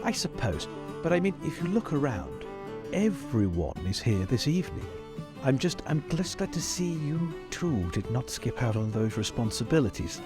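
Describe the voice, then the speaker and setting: A man's voice: medium pitch, big pitch swings, moderate pace, over music, natural voice. A man playing an earnest, patronizing older university professor who speaks formally and hesitantly, repeating his words, in tabletop roleplay. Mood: pleased, formal.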